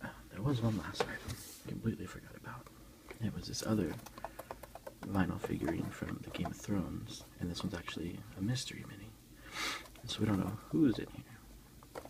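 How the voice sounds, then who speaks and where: A man softly whispering an informal, unhurried ASMR unboxing in a breathy voice. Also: sits low, very noisy. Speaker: ASMR video creator. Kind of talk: ASMR unboxing, softly narrated show-and-tell.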